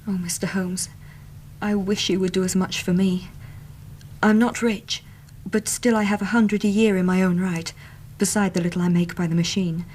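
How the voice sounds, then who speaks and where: An English actress playing an earnest, talkative young Victorian typist in a radio drama, chatty and plain-spoken. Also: female voice, mid-range voice, fairly even intonation, normal speed, a little mellow, slight background noise, natural voice, rough recording. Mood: pleading, earnest.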